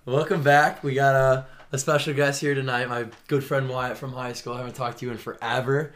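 A young man with a raspy voice hosting a casual podcast with friends, swearing freely and joking. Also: mid-range voice, little pitch movement, a little fast, a bit bright. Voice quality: raspy voice from partying. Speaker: podcast host. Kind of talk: casual chat among friends.

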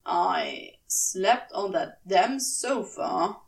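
Woman voicing a slow, drawling male demon. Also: medium pitch, huge pitch swings, distant mic, voice pushed down, exaggerated voice. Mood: dry.

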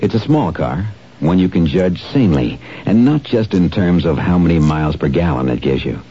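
A man reading a 1970s radio car commercial in a relaxed, conversational way. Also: low-pitched, normal speed, breathy edge. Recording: dull lo-fi old radio broadcast.

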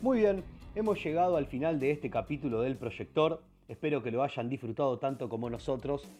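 A man's voice: mid-range voice, lively intonation, a little fast, dark tone, faint music behind, natural voice. An actor with an Argentine Rioplatense accent playing a pompous, easily exasperated film expert who hosts a comedic radio show, switching between formal and slangy. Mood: formal, polished. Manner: formal presenting that slips into slangy grumbling.

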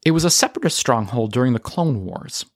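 A firm, principled stateswoman of a rebellion, acted by a man, speaking formally in an audio drama. Delivery is measured but expressive, recorded dry. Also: mid-range voice, no echo, lightly acted. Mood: measured, pragmatic. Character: composed, principled, firm female rebel leader. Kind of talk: audio drama character reading.

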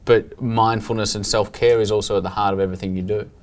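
A woman's voice: very deep voice, steady tone, fast talker. A woman hosting a podcast interview, speaking in an effusive, admiring way. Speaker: podcast host. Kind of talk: interview host.

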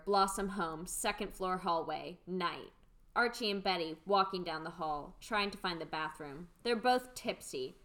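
Slightly low-voiced woman reading stage directions. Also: fairly bright tone. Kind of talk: scripted radio play narration.

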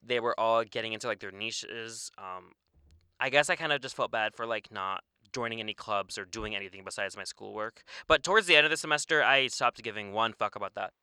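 A young man co-hosting a casual podcast, loose and profane, who says his natural voice runs monotone. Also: lowish voice, brisk, clean tone, no echo, studio quality. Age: young adult. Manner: loose, swears often, calls his usual voice monotone. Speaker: podcast co-host. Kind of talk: casual chat.

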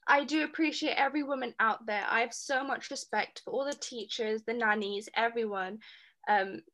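Woman, casual unscripted chat, a bit higher voice, conversational and emphatic. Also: moderate pace. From Indian background. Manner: chatty, animated, fillers, growing emphatic. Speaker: speaker talking about her mother. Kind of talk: casual personal talk.

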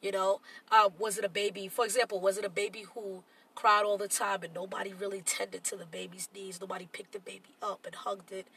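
Casting: congested, nasal woman co-host with a bright, gravelly edge, reflective and talkative, a little off-mic. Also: mid-range voice, level tone, a little fast, hardly any pauses, far from the mic. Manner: reflective, explains at length. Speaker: podcast co-host. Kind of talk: casual chat.

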